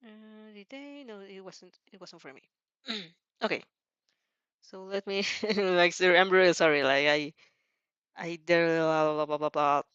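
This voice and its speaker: A woman's voice: fairly low pitch, a little slow, a bit bright, smooth, dry recording, dull audio. A woman host chatting casually while reacting to fan fiction, in a giggly, apologetic, scattered way that keeps interrupting itself.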